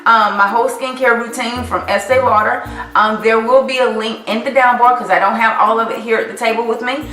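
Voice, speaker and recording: A woman from the Carolinas talking through her favorite makeup products in a chatty, animated vlog. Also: a little high, fairly quick, distant mic. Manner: chatty, animated, sassy asides. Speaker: makeup vlogger. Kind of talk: casual product review vlog. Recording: echoey room, voice off-mic, faint music.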